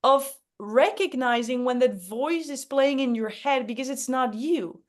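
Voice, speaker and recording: A woman's voice: sits high, measured pace. A woman facilitating an interactive workshop in an encouraging, reassuring way.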